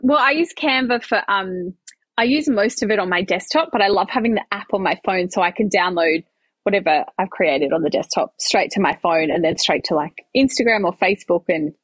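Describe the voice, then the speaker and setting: An upbeat, chatty woman talking as a guest on a casual podcast. Her voice is a little husky and breathy. The sound is dry and close, with no room echo. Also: mid-range voice, brisk. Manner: chatty, upbeat, filler-heavy. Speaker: podcast guest, small business owner.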